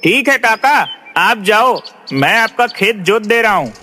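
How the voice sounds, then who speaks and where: A man's voice: high-pitched, lively intonation, a little slow, sharp tone, exaggerated voice. A man playing an honest, kind young village farmer in a cartoon, speaking earnestly and politely. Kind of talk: animated Hindi moral-story cartoon acting. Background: faint music.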